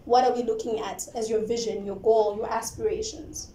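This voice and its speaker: A woman's voice: fairly high pitch, fairly even intonation, normal speed, mellow. A young woman with a Zimbabwean accent hosts a studio interview, asking playful, upbeat questions. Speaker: talk show host. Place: indoor TV studio. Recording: roomy studio with faint noise.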